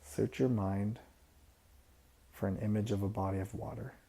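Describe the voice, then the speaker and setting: Male meditation guide: low, very dark and mellow, gravelly fry, soft and unhurried. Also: fairly even intonation. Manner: soft-spoken, unhurried instruction with pauses.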